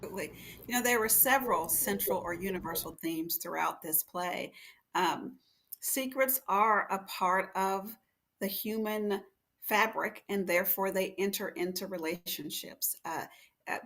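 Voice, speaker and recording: Female expert panelist, middle-pitched, deliberate phrasing, reflective counselling explanations. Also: fairly bright tone.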